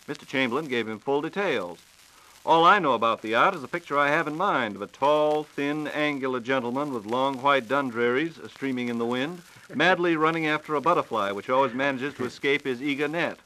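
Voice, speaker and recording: A man's voice: mid-range voice, a little fast, bright, forceful tone, low-quality recording. An American man hosting a scripted 1940s radio science program with a playful, theatrical announcer delivery. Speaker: radio host interviewing a science reporter. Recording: 1940s radio broadcast recording.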